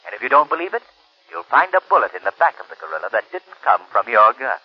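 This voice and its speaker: An actor playing a mysterious, dramatic crime-fighter in a 1930s radio drama. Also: male voice, lowish voice, big pitch swings, quick pace, breathy edge, dry recording. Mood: mocking. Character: mysterious, self-possessed male invisible crime-fighter. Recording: dull lo-fi 1930s radio recording.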